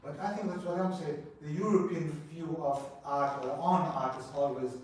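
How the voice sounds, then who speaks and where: A man from Afghanistan, speaking English as a second language, comments from the audience at a panel in a halting way. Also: a little high, fairly even intonation. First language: not English. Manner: halting, searching for words. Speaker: audience member. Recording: echoey room, distant mic, faint noise.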